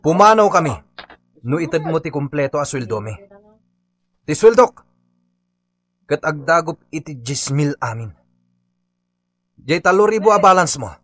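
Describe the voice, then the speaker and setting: A man's voice: on the high side, sing-song, a little slow, pauses often, fairly bright tone, no echo, heavily acted. An actor in a radio drama playing a stubborn labourer who confrontationally demands his unpaid wages. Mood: angry, accusing. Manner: insistent, confrontational.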